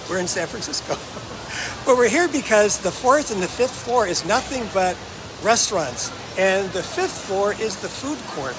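An older American man chatting upbeat and casual as a travel vlog host in a shopping mall food court. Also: sits high. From United States. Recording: noisy mall ambience.

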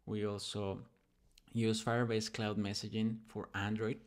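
A man hosting a casual software tutorial, talking informally and thinking aloud as he demonstrates on screen. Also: on the low side, level tone, unhurried. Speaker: programming tutorial host.

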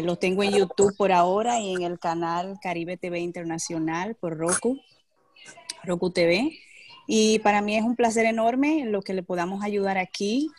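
A woman with a lowish voice introduces herself on a video call. She works in real estate and fills her speech with hesitant fillers as she explains what she does. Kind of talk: casual self-introduction.

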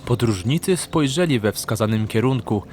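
A man's voice: mid-range voice, expressive, moderate pace. A man narrating a scripted fantasy story as an audiobook narrator. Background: background music.

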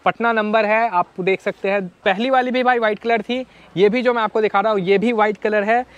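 A man's voice: high voice, steady tone, brisk, clean tone, dry recording, highs cut off. A young man, hosting a casual walkaround of cars on an outdoor lot, chatty and mixing in English phrases, on a lapel mic. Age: young adult. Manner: chatty, mixes English phrases, addresses viewers as brothers. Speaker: car vlogger host. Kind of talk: casual walkaround car showcase. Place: outdoor used-car lot. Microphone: clip-on lapel mic.

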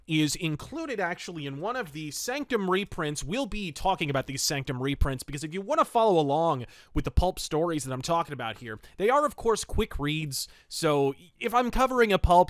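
A man gives solo podcast commentary in a slightly high voice. He is articulate and fond of flourished, wordy phrasing. The sound is close and dry. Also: a bit bright, dry recording. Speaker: podcast host.

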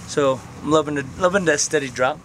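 A man's voice: a little high, a little fast, fairly bright tone, slight background noise. A man in his twenties talking casually to his camera outdoors, rambling and frank, swearing now and then. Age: 20s. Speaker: vlogger. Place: outdoors on open flat ground. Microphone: camera mic, close framing.

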